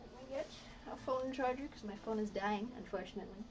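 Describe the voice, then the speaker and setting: A woman's voice: fairly high pitch, fast, music in the background. A woman in her twenties whose first language is Dutch, chatting casually on a live stream, rambling and muttering asides as she works. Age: 20s. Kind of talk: casual live-stream chat while painting. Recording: slightly dull lo-fi stream audio with faint ambience.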